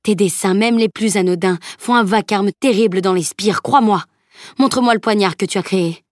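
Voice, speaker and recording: A woman's voice: mid-range voice, normal speed, no room sound, clean studio sound, close to an everyday voice. A woman reading as a stern, grumbling old male teacher who lectures severely.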